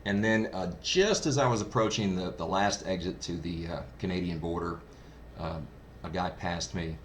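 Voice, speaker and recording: A man's voice: low voice, moderate pace. A man giving a casual first-hand review in a chatty, enthusiastic, anecdotal way. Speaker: hobbyist product reviewer. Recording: clearly noisy background ambience.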